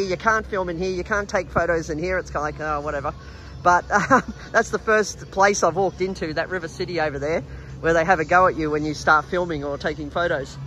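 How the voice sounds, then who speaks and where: Higher-pitched Australian man, casual vlogging. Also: sharp tone, poor audio. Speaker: travel vlogger. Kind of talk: casual walking vlog narration. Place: outdoors in an urban riverside park. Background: background music, outdoor city sounds.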